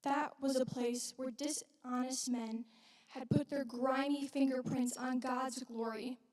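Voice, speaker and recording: A woman playing a widowed young mother from biblical Galilee in a dramatic church monologue, speaking earnestly and haltingly with weighted pauses. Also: high voice, steady tone, darkish tone. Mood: reverent, hopeful. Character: widowed young Galilean mother, wounded, reverent witness of Jesus. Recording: echoey hall, distant microphone.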